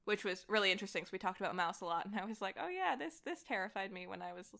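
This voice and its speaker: Bright-voiced young woman chatting. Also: mid-range voice, racing, creaky. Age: young adult. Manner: animated, rambling, frequent "like". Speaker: podcast guest, voice actor. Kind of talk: casual conversation about comics.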